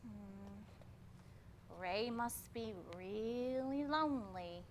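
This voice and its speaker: An actress playing an eager woman hairstylist in a staged comedy reading, with theatrical, expressive delivery. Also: fairly high pitch, extremely slow, slight background noise. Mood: curious, gentle. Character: Betty, eager woman hairstylist feigning a posh British accent.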